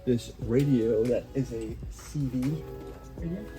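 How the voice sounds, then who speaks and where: A man's voice: mid-range voice, slow, over music, poor audio. A man talking informally as the host of a DIY vlog, thinking aloud as he explains his work. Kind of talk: casual talk while working, explaining to viewers.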